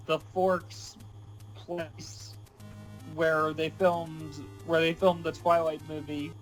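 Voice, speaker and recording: A man's voice: fairly high pitch, low-quality recording. A man in his twenties chatting casually as a vlog co-host, speaking in short, offbeat remarks. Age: 20s. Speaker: podcast co-host.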